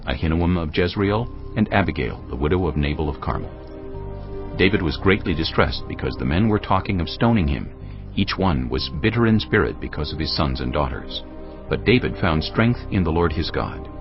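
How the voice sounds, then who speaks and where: A man narrating dramatized scripture in a solemn, measured storytelling manner. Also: extremely low pitch. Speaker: Bible narrator. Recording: dull audio with music behind. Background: background music.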